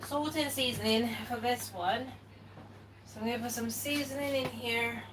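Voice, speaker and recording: A woman's voice: a little high, normal speed. A woman from Ghana who thinks aloud in casual chat while she cooks in a home kitchen, recorded by a camera some way off. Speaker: cooking vlogger. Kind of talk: casual cooking commentary. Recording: distant mic with kitchen noise.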